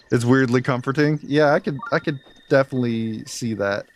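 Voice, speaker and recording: A man with a deep voice streaming a game, chatting candidly and easily with viewers while he plays, close to his mic. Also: moderate pace, a little hiss or hum. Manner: candid, laid-back, talks to chat between game moments. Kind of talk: casual live-stream chat while playing. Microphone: close desk mic. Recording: game music audible under the voice. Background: game music.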